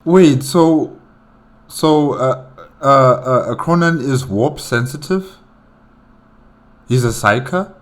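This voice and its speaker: Male reaction host, average pitch, unhurried delivery, informal and exclamatory, conversational commentary. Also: animated.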